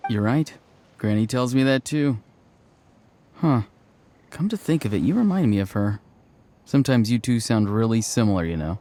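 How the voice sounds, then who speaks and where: An actor playing a polite, earnest and somewhat secretive youngster in a video game, hesitant and apologetic in manner. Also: male voice, on the low side, animated, normal speed, pauses often, warm tone, natural voice. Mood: sheepish, polite. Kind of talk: English game voice acting.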